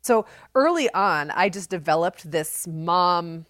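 A woman's voice: lowish voice, expressive, takes it slowly, fairly bright tone, dry recording, clean studio sound. A middle-aged woman co-hosting a podcast, telling stories in a casual, candid, self-deprecating way with a big, projecting voice. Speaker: podcast co-host. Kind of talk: casual conversational podcast talk.

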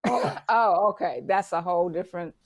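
A woman in casual group chat, laughing and teasing, quick to jump in. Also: lowish voice, a loud crowd.

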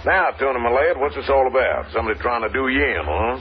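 A man's voice: mid-range voice, expressive, fast, a little hiss or hum, close to an everyday voice. Man playing folksy American busybody, muffled old radio. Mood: nosy, chummy. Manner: folksy, gushing, chatty, repeats himself. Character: folksy, nosy, jovial American tourist man. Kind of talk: 1950s radio drama acting.

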